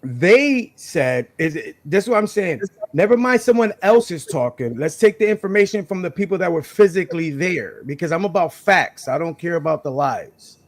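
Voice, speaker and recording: Man, forties, high-pitched voice, native Spanish speaker, emphatic casual storytelling, panel guest. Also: expressive, moderate pace, hardly any pauses. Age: 40s. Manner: emphatic, checks in with "right?". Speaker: call-in guest on a live panel show.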